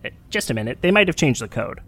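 An excitable woman guide in an audio drama. Her pitch swoops wildly as she chatters informally and shows off, eager to impress. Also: quick pace, noisy background. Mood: eager, bubbly. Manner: chatty, animated, showy. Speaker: tour guide at a launch facility. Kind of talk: naturalistic audio drama acting.

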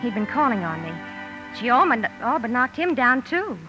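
An actress playing a proper, prim elder sister who narrates family memories in old-time radio drama style. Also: female voice, fairly high pitch, very animated, moderate pace, music in the background. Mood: mildly vexed. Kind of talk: storytelling narration in 1940s-50s radio drama. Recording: dull vintage radio broadcast recording.

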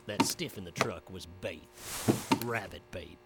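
An actor playing a gruff but kindly rustic frontiersman in an audio drama, talking in a folksy, slangy drawl. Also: low voice, a little slow. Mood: easygoing, friendly. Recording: loud background hum and noise.

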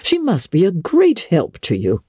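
A woman's voice: on the low side, huge pitch swings, moderate pace, warm tone, husky, no room sound, pitched above the performer's own voice, full character voice. A woman voicing a blunt, opinionated older country woman with folksy, emphatic speech in a storybook reading. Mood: approving.

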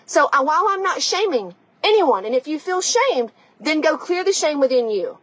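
Woman, high-pitched, dry close sound, podcast coach, blunt, profane, emphatic, motivational tough love. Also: very animated, normal speed, a bit bright, dry recording. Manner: conversational, addressing the listener directly.

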